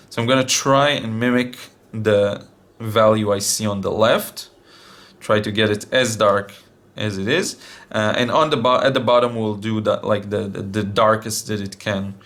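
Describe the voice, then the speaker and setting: A young man demonstrating watercolor paints, talking casually with many fillers, his voice still a little deep after being sick. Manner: relaxed, thinking aloud with many fillers. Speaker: art channel host.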